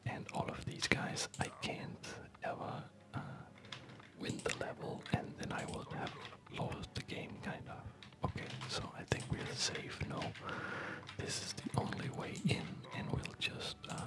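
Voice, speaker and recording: A man giving hushed, unhurried and rambling ASMR commentary over a game he is playing, speaking in a whisper. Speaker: gaming video host.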